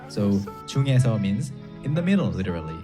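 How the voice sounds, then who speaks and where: A man's voice: fairly low pitch, very animated, very fast, over music. A man teaching a Korean lesson in a podcast, quizzing his student in a playful, teasing way.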